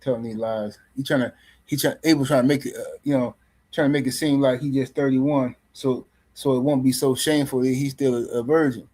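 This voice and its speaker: A man's voice: medium pitch, fairly even intonation, brisk, darkish tone, rough recording. A man hosting a boxing livestream in casual commentary, loose and teasing, rambling and repeating words as he reads chat messages. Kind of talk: casual live-stream commentary.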